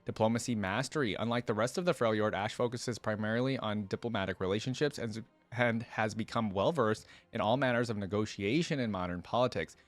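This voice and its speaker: Male reaction host, lowish voice, dry close sound, informal read-and-comment delivery. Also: a little fast, hardly any pauses, no room sound, soft background music. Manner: informal, interjects own thoughts while reading. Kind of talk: casual reaction commentary, reading lore aloud with asides.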